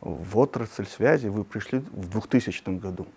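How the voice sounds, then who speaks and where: A man's voice: on the low side, moderate pace, creaky, darkish tone, a little hiss or hum. A man hosting an interview, speaking in a formal, announcer-like way. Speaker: interview host.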